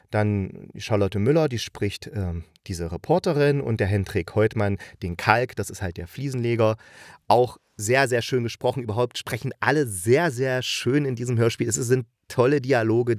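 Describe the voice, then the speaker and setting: A man's voice: on the low side, a little mellow, no room sound, clean studio sound. A man hosting a casual review podcast, talking in an enthusiastic, colloquial and rambling way. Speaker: podcast host reviewing radio plays. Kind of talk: casual chatty review monologue.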